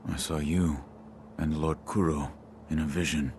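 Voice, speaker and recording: A man voicing a stoic, loyal warrior servant in a video game, terse, grim and deadpan. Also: extremely low pitch, measured pace, slight background noise. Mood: grim, restrained.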